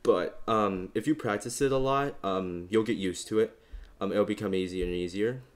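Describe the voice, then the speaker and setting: Deep-voiced man teaching beatboxing. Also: normal speed, background noise. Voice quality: bass voice. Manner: relaxed, rambling, many fillers. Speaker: beatbox tutorial host. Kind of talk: casual instructional talk.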